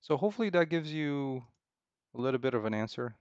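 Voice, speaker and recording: A man chatting casually and unscripted as he answers questions, rambling haltingly and circling back over his phrases. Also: mid-range voice, lively intonation, clear, resonant tone, no room sound, muffled recording. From Romania. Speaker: game developer streaming.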